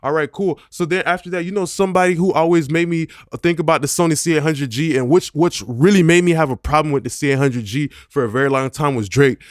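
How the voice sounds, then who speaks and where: Man, music producer, casual gear review, slangy, filler-heavy, fairly high voice, dry close sound. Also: fast talker, hardly any pauses, no room sound. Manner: loose, conversational, many "you know" fillers; slangy, informal grammar. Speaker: music producer and mixing engineer.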